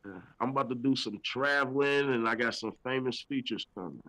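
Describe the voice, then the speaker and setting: A man's voice: mid-range voice, brisk, bright, forceful tone. A man in his thirties, a rapper being interviewed, chatting casually in laid-back slang. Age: 30s. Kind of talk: casual chat.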